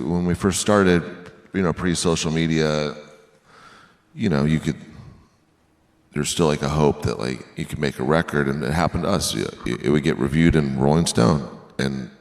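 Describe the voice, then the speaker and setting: Very deep-voiced, sardonic man over music. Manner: sardonic, foul-mouthed. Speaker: musician being interviewed. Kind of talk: casual interview answer. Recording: music playing behind the voice.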